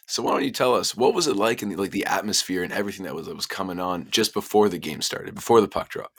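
A young man with a hoarse voice, hosting a casual sports podcast with jokey, self-deprecating banter. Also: low-pitched, quick pace, fairly bright tone, no room sound. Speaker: podcast host.

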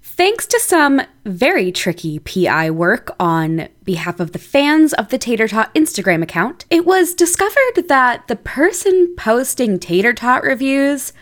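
A woman's voice: a little high, big pitch swings, a little slow. A woman presenting a chatty scripted podcast segment, speaking directly to listeners. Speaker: podcast producer with a regular segment.

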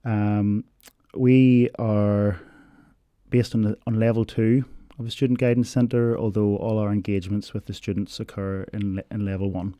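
A man explaining university learning support in a measured interview, pausing often on ums. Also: fairly low pitch, steady tone, warm tone, no echo. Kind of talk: informational interview answers.

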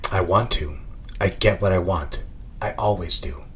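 A man's voice: low voice, normal speed, breathy edge. A man playing a domineering, seductive wealthy lover in an audio drama, commanding, suave and controlled. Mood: cool, determined. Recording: music behind the voice.

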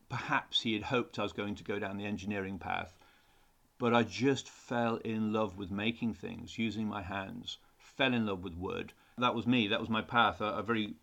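A man's voice: fairly low pitch, fairly even intonation, normal speed, creaky, fairly bright tone. A middle-aged man, a teacher answering interview questions in an earnest way, often repeating words when he restarts. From England. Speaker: design teacher, podcast guest.

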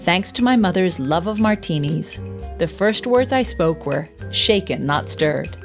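American woman host, lowish voice, polished delivery, faint music underneath. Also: expressive, measured pace. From United States. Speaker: podcast host. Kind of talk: scripted introduction and interview questions.

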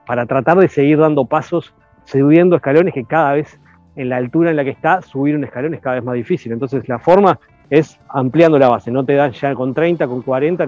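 Male podcast guest, analytical and measured, dull lo-fi dry sound. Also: medium pitch, darkish tone, no room sound. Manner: analytical, measured, many hesitations. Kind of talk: casual chat.

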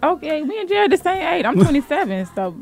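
A woman's voice: high-pitched, very animated, fairly quick, vocal fry, darkish tone, soft background music. A woman in her twenties chatting casually as a guest on a radio show. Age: 20s. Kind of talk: casual chat.

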